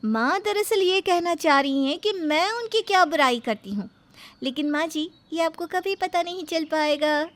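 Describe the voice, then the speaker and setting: Sassy, high-pitched young daughter-in-law acted by a woman. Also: animated, moderate pace. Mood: teasing. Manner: sarcastic, challenging. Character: sassy, sharp-tongued young daughter-in-law who teases and scolds.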